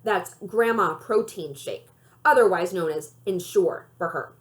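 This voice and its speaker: A woman, a librarian, giving an expressive read-aloud narration of a children's novel. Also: fairly low pitch, measured pace.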